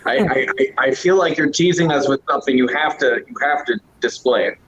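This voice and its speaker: A man's voice: medium pitch, a little fast, a bit bright, highs cut off, a little hiss or hum. A man moderating a casual podcast Q&A and reading fan questions, upbeat and earnest with fillers, over a video call. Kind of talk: casual Q&A chat. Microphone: remote mic over a video call.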